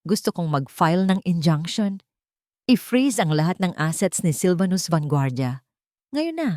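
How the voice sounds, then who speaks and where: A woman's voice: fairly low pitch, very animated, normal speed, darkish tone, dry recording, clean studio sound. A woman voicing a grieving, commanding male tycoon in an audio drama, controlled and imperious. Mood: cold, commanding. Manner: controlled, imperious, clipped orders.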